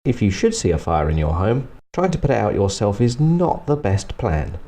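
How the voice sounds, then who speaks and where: A man's voice: lowish voice, very animated, moderate pace, warm tone. A man narrating a scripted comedic review in a dry, sarcastic manner. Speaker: presenter of a film review series.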